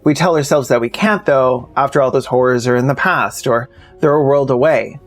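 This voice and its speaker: Slightly creaky male video essayist. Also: medium pitch, moderate pace, soft background music. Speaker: video essayist, channel host. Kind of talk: video essay narration to the audience.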